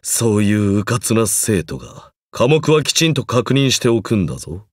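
A man's voice: on the low side, very animated, normal speed, dry recording. Game role: stern male magecraft lecturer, formal, condescending, grudgingly encouraging, very varied intonation, dry close studio sound. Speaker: voice actor in a mobile game. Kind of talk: scripted game character lines.

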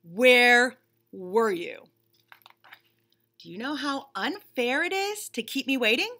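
Woman voicing an excitable, high-pitched needy bunny. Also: heavily acted. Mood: indignant. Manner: dramatic, exclamatory, drawn-out emphasis. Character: excitable, impatient, needy male cartoon bunny from a picture book.

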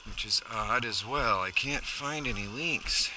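A rambling, chatty man talks through his chores while filming himself outdoors. His voice sits at an ordinary middle pitch. The head-mounted camera picks up clear background noise. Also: a little slow, sharp tone, low-quality recording. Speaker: homesteading vlogger. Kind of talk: casual first-person narration while working. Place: outdoors on a rural homestead. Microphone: head-mounted action camera.

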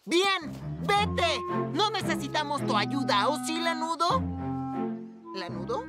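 A woman's voice: high-pitched, expressive, slow. A woman voicing a bossy, cheeky young boy in a cartoon dub, with music playing behind. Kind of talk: cartoon dubbing.

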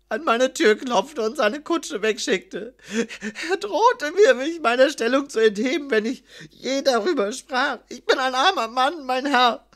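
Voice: high voice, a bit bright, pitched above the performer's own voice. Hohen, wimmernden, verängstigten Schreiber. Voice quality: whining, sobbing, stammering. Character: terrified whimpering male scribe, servile, begging.

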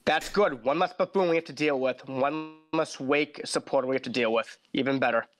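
A man's voice: medium pitch, brisk. Cocky man with a scratchy voice. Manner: playful, cocky, giggly. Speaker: podcast co-host. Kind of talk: casual chat on a video call podcast.

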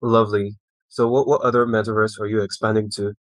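Low flat-voiced man hosting a podcast. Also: a little fast, no room sound. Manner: friendly, rambling with fillers. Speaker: podcast host. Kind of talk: casual interview. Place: small room indoors, video call. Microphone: headset.

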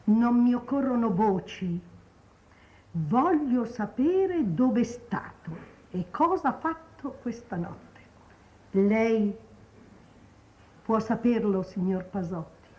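An actress playing a cold, commanding old marchioness in a 1950s Italian television period drama, with a haughty, measured delivery. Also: female voice, fairly high pitch, very animated. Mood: stern. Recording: dull 1950s TV soundtrack.

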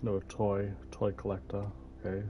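A man's voice: on the low side, monotone, normal speed, background noise. A young man who is a little ill, commentating a horror game on a headset and reading the in-game notes aloud. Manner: reads in-game notes aloud, muttering asides. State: slightly ill. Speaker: gaming streamer. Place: indoor room at a desk.

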